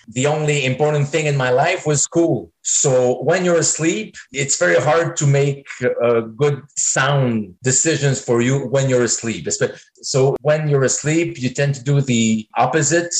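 A man's voice: medium pitch, measured pace, hardly any pauses, rough recording. A man in his forties, a native French speaker with a French-speaking North American accent, answering as a singer-songwriter guest in a casual, rambling way full of "I mean.". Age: 40s. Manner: rambling, leans on filler "I mean".

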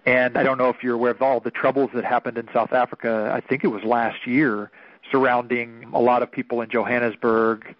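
A man in his thirties from Oklahoma speaks as a minister being interviewed, earnest and measured as he reflects. His voice sits in a middle register. The audio is dry and a bit dull, like a lo-fi radio recording. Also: mid-range voice, little pitch movement, no echo. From Oklahoma, United States. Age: 30s. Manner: earnest, thoughtful, measured storytelling.

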